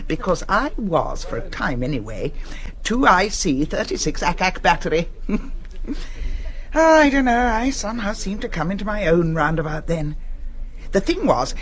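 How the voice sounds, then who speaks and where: An actress playing a jolly, gushing middle-aged English school matron in a stage comedy, chatty and full of old-fashioned slang. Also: female voice, sits low. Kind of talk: British stage comedy acting.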